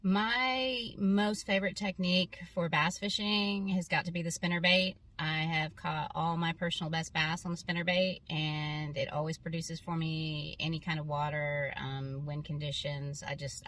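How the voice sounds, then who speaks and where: A woman's voice: low voice, flat delivery, moderate pace, hardly any pauses, a bit bright, slight background noise. A woman from Maine giving casual profile answers about herself as an angler. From Maine, United States. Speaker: kayak angler. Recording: distant from the mic.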